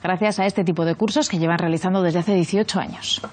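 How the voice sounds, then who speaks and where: A woman's voice: lowish voice, fairly even intonation, fast talker. A woman presenting scripted news as a television presenter.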